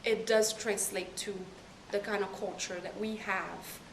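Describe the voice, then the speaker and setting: Young woman, thoughtful pauses, far from the mic, echoey room. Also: medium pitch, fairly even intonation, vocal fry, slight background noise. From Caribbean. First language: French. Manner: thoughtful, pausing between phrases. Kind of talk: interview answers.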